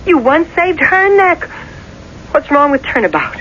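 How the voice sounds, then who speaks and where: An actress playing a pushy, scheming wife in a 1970s radio drama, coaxing and insistent. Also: female voice, sits high, big pitch swings, measured pace, a bit bright, full character voice. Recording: dull, noisy vintage radio recording.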